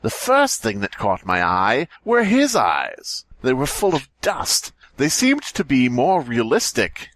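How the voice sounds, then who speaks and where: A man's voice: medium pitch, wildly swooping pitch, slow pace, hardly any pauses, a bit bright, no echo. A man hosting a comedy podcast, reading horror stories aloud in a joking, mock-dramatic way with casual banter. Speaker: podcast host.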